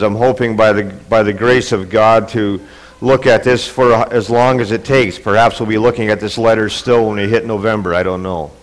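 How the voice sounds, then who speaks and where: A man's voice: mid-range voice, rough recording. A man delivers a church sermon through a pulpit microphone, his voice congested from a cold. He reads and preaches earnestly, building in intensity as he goes. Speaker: pastor.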